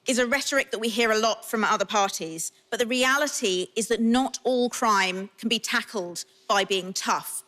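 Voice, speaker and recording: A woman's voice: fairly high pitch, bright, forceful tone. A woman politician making her case in a televised political debate. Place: radio theatre stage.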